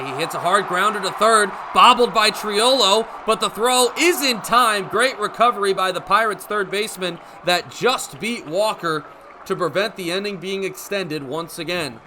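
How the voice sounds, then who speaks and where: A man's voice: high-pitched, moderate pace, noisy background. A young man calling baseball play-by-play for radio, speaking in short clipped phrases as live commentary. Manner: narrates action in short clipped phrases, building to excited calls.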